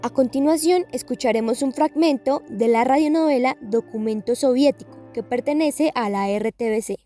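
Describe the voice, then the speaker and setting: A woman's voice: high-pitched, normal speed, dark tone, faint music behind. A woman reading a scripted documentary narration.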